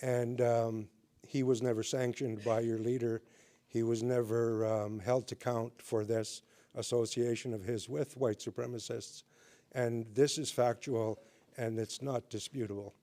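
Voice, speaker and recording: A man from the audience putting a measured question to a candidate. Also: medium pitch, flat delivery, warm tone. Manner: measured, with fillers. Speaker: audience member asking a question. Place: mosque hall.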